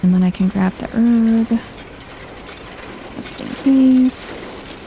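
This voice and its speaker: A soft-spoken woman giving chill, dryly wry ASMR-style commentary while playing a video game. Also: on the high side, slow, a little hiss or hum, poor audio.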